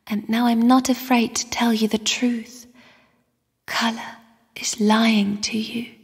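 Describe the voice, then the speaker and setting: Mysterious young female spirit, very breathy whisper, slow and solemn, sorrowful, video game character, long cavernous reverb. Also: a little high, flat delivery, heavily acted. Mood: solemn warning. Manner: slow, hushed, solemn delivery.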